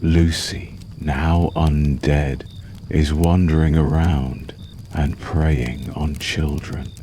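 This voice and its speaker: Guy doing a possessive vampire boyfriend for asmr, super deep and unhurried, tender but menacing. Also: steady tone, warm tone, slight background noise, full character voice. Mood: calm storytelling. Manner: slow, soothing, menacingly tender, lingering pauses. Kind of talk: ASMR roleplay, intimate storytelling.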